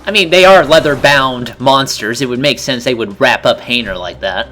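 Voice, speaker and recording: A man's voice: mid-range voice, moderate pace, pushed and bright, faint music behind. A man in casual, joking, sweary chat as co-commentator on a game playthrough. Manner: joking, profane.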